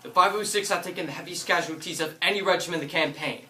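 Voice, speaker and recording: A man's voice: sits high, fast talker, bright, forceful tone. A man playing a dutiful, battle-tested American paratrooper officer, declaiming his memories of combat in a theatrical war drama. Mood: solemn, proud. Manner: declamatory, bellows battle orders. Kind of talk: theatrical monologue and dialogue. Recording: echoey room from far off, noisy.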